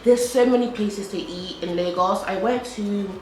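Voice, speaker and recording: A woman's voice: mid-range voice, normal speed, a little mellow. Young British woman, casual vlogger, roomy echo, faint hum behind. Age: young adult. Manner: chatty, repeats herself, frequent "like". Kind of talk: casual chat to camera while eating. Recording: echoey room with faint background hum.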